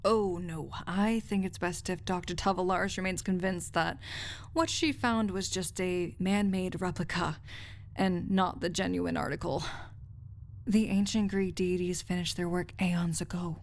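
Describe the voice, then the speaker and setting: Casting: woman as dreamy, wry research assistant in love, soft and unhurried, romantic audio roleplay, light hiss of background noise. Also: on the low side. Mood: tender, reflective. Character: lovestruck female research assistant, tender, wry, contentedly dreamy.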